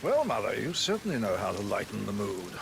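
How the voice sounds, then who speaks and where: A smug, sneering rich heir. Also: male voice, lowish voice, very animated, a little fast, vocal fry, noisy background. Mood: mocking. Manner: mocking, amused, drawling. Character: smug, sarcastic rich heir, middle-aged.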